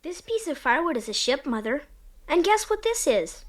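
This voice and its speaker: A woman's voice: high-pitched, big pitch swings, moderate pace. A woman playing an eager, imaginative young boy in a radio play, earnest and full of questions. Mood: playful.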